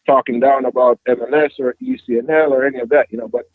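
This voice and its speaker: A man's voice: mid-range voice, steady tone, quick pace, no room sound. A man from Jamaica with a Jamaican accent, a youth football coach answering interview questions reflectively, with many "you know" fillers. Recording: dry tinny phone-like line.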